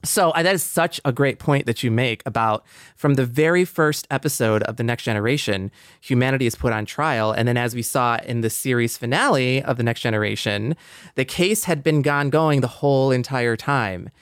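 A male podcast host with a clear, smooth voice, recorded close and dry. He talks in a casual, reflective way and sounds appreciative and agreeable, at a middling pitch. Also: normal speed, fairly bright tone, no room sound.

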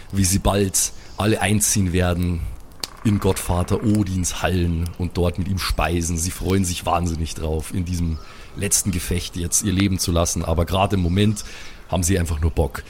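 Male game master, very low voice, colloquial dramatic narration, faint noise behind. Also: a little mellow. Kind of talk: improvised storytelling for a tabletop audio play.

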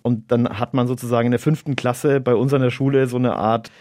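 A man in his thirties, a native Arabic speaker from Germany, answering interview questions in a measured, reflective way with an occasional chuckle. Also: mid-range voice, level tone, a little mellow, dry recording, studio quality. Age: 30s. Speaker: interview guest, local politician.

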